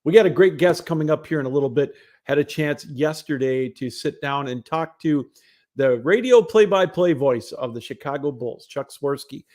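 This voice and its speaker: A man's voice: a little high, normal speed, a little mellow. A man hosting a casual solo sports broadcast, talking in a rambling, conversational way. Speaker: sports show host.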